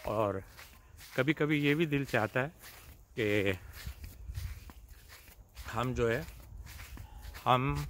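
Older male vlogger, very slow casual delivery, phone held outdoors with faint background noise. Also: medium pitch, a bit bright. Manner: leisurely, pausing, addressing viewers directly. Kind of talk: casual chat to camera. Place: outdoors in a suburban backyard. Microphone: phone at arm's length.

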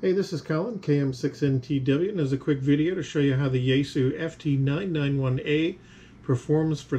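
A man's voice: a little high, fairly bright tone, a little hiss or hum. A middle-aged man giving a casual demonstration commentary as a ham radio operator. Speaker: amateur radio operator, video presenter. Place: small indoor room. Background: shortwave radio audio.

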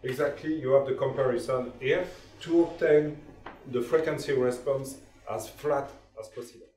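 A man explaining technical details to visitors in a factory, pausing often to search for words. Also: mid-range voice, steady tone, darkish tone. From France. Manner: halting, frequent uhs, searching for English words. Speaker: company engineer guiding a tour. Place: indoor factory workshop. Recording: roomy echoing workshop.

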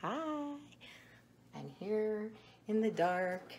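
Slow, creaky middle-aged woman talking to kids. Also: mid-range voice, a little hiss or hum. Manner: slow, animated, talking as to young children. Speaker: storyteller showing children books and eggs. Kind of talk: casual chat to children. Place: small room in a home.

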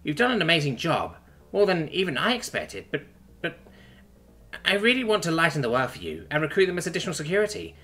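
A man putting on a chirpy, high-pitched alien princess. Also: very animated, fast, sharp tone. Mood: nervous, earnest. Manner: polite, earnest, formal wording. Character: small, sweet, nervous alien princess, polite and devoted.

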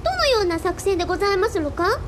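A precocious little girl for radio drama, extremely high-pitched and quick. She speaks in quaint, overly formal phrases, with clear noise in the background. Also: very animated. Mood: curious. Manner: exaggeratedly polite, archaic formal speech.

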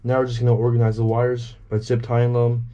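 A man's voice: medium pitch, completely flat delivery, brisk, mellow, muffled recording. A man giving a casual, step-by-step how-to narration as he works with his hands. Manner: plain instructional, talking while working with his hands. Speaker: host of a DIY boat how-to video. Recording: clearly noisy on-location recording.

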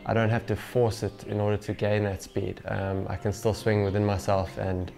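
A man's voice: lowish voice, flat delivery, normal speed, creaky, darkish tone. A man in his twenties, a professional athlete, chatting casually in an interview on a lapel mic. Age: 20s. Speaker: professional golfer being interviewed. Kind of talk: casual interview talk to camera. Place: small home gym room, indoors. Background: background music.

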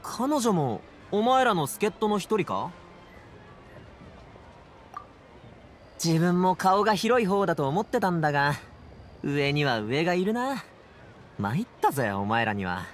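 An actor playing an earnest, brash young man in an anime game, speaking in a casual, rough-edged youthful way. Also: sits high, very animated, normal speed, slight background noise. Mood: casual, impressed. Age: young adult.

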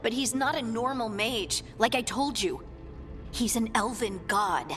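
An earnest dwarf woman scout who keeps pressing the others to act in a fantasy game. Clear music plays behind her. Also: fairly high pitch, measured pace, a bit bright. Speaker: party companion. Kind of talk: video game voice acting.

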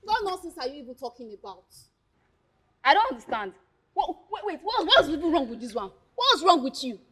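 A woman's voice: high voice, sing-song, brisk, fairly bright tone. An actress playing a feisty, indignant young woman with a Nigerian accent, speaking emphatically and insistently in a screen drama.

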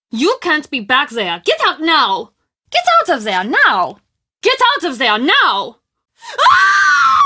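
A woman's voice: high-pitched, bright, forceful tone, dry recording, studio quality, exaggerated voice. A woman acting a theatrical castle woman in scripted mystery game dialogue, with an emphatic, dramatic delivery. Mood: alarmed, pleading. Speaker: voice actor in a mystery adventure game.

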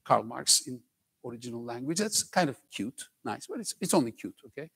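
A man's voice: medium pitch, very animated, fairly quick, vocal fry, fairly bright tone, husky. An older man from Turkey with a slight Turkish accent, lecturing students on stage in a conversational way, often checking in with "okay?" and joking with the audience. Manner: conversational, keeps asking "okay?", jokes with the crowd. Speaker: professor giving a talk to students. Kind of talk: lively lecture to a school audience. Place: large auditorium stage.

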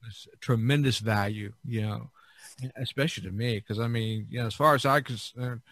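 A man hosts a casual podcast conversation in a bright, forward voice. He moves haltingly, doubling back on his phrases, and the sound is dry and close, at a middling pitch. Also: no room sound. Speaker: podcast host. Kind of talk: casual chat.

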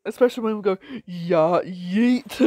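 A woman casually commenting on her own gameplay with a croaky voice, giggling and exclaiming often. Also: mid-range voice, a little slow, dry recording, clean studio sound. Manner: giggly, excitable, frequent laughs and exclamations.

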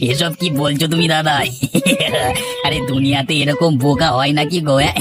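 A man's voice: on the high side, slow pace, over loud music. A man voicing a jovial village friend who teases and mocks in a comic cartoon. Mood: amused teasing. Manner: teasing, chuckling. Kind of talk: Bengali comic cartoon dialogue.

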